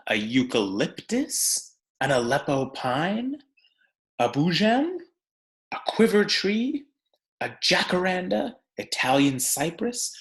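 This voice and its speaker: A man reading a picture book aloud like a teacher, with deliberate, emphatic phrasing and conversational asides for young listeners. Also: high-pitched, a bit bright, roomy sound.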